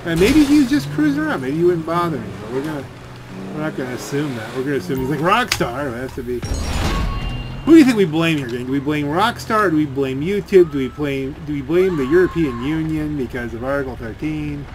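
A man's voice: fairly high pitch, lively intonation, a little fast. A man giving casual, rambling gameplay commentary as he thinks aloud while playing. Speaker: gaming video host. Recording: loud game gunfire and vehicle noise. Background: video game gunfire and vehicle sounds.

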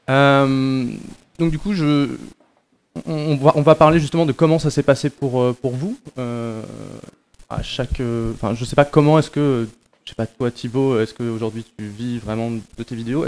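A man moderating a festival panel in a classroom, asking halting questions with many restarts into a handheld mic. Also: mid-range voice, a little mellow.

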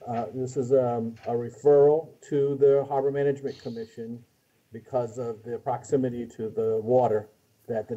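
Middle aged guy explaining stuff on a video call, very mellow dark voice, halting, dull lo-fi sound. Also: medium pitch, slightly rough. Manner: halting, trailing off. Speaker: city planning official. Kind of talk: explaining zoning at a meeting. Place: small office with hard ceiling, indoors.

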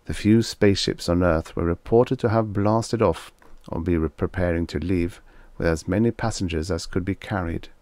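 A man's voice: sits low, moderate pace, darkish tone, no echo. A man reading a scripted science fiction story aloud as an audiobook narrator.

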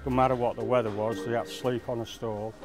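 A man's voice: medium pitch, level tone, quick pace. Man with music behind. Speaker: market stallholder. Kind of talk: casual interview talk. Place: busy indoor market stall.

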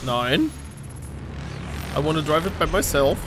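A man's voice: a little high, animated, a little slow. A young man giving casual, joking game commentary on a headset, playful and often exclaiming. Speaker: let's play gamer. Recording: game noise behind voice. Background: video game sounds.

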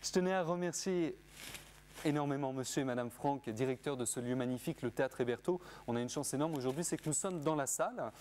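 Un homme d'une trentaine d'années qui mène une interview télévisée en hésitant, beaucoup de « euh », sur un ton joueur, micro-cravate dans une salle de théâtre vide. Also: male voice, medium pitch, quick pace, darkish tone, a little hiss or hum. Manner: hesitant, many fillers, playful. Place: empty theatre auditorium. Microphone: lapel.

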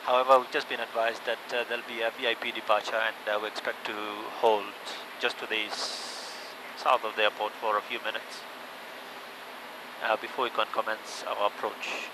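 A man, an airline captain, calmly briefing the approach from the cockpit. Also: medium pitch, moderate pace. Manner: calm, matter-of-fact. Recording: noisy cockpit.

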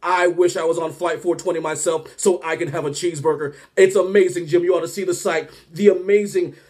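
A man voicing a male TV news reporter on location, talking in a slow, drawn-out newscaster style. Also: a little high, steady tone, a little mellow, voice pushed down, close to an everyday voice. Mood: amazed.

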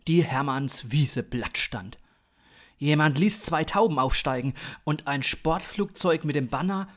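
A man in his thirties narrates a scripted comic science fiction story. His voice sits at a medium pitch and he takes his time, reading at a slow pace in podcast audiobook style.

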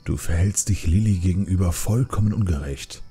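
Man acting out an older panda brewmaster, earnest and reasoning, very deep, mellow dark tone, fantasy audiobook. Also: brisk, slightly rough, faint music behind, full character voice. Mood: reproachful.

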